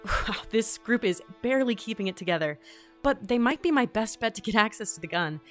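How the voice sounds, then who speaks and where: An actress playing an awkward, wry visitor from another world in a fantasy audio drama, casual and hesitant. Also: female voice, fairly high pitch, lively intonation, a little fast, soft background music, close to an everyday voice. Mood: wry, observing. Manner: casual, hesitant, dryly sarcastic. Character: awkward, wry, easily amazed otherworldly traveler. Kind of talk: fantasy audio drama acting with mission-log asides.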